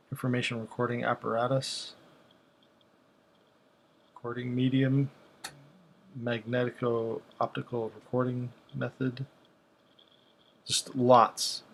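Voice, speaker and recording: Guy doing casual video commentary, pretty flat tone, medium pitch, kinda halting, thinking out loud as he goes. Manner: halting, thinking aloud while browsing. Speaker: video host. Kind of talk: casual, unscripted commentary over examples.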